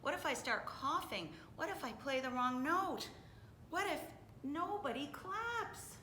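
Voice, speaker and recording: A woman's voice: high voice, lively intonation, unhurried, pushed and bright, reverberant, slight background noise, voice pushed up, heavily acted. A woman voicing an anxious young storybook girl who asks worried questions. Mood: rising panic.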